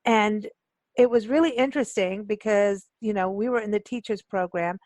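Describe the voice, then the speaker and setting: A woman's voice: mid-range voice, normal speed, a little mellow, no echo, studio quality. A woman hosting a radio interview as a casual chat, informal and effusive in how she talks.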